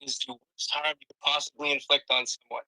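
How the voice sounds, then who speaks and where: A man defending himself in a played clip, halting and filling with "like". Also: on the high side, level tone, gravelly, bright, forceful tone, low-quality recording. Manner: defensive, halting, many fillers. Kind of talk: defensive explanation on a stream.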